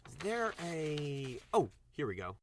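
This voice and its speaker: A man's voice: fairly high pitch, sing-song, unhurried, slight background noise. A man playing a nervous, awkward, self-deprecating doctor in an audio drama, hesitant and rambling. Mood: uneasy.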